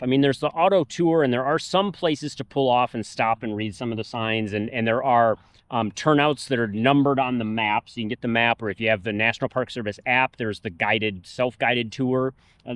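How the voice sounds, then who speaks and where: A man's voice: mid-range voice, fairly quick, no room sound. A man on a travel vlog, outdoors at a park, casually explaining history to the camera at length. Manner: informative, talks through history at length. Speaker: travel vlogger. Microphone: camera mic.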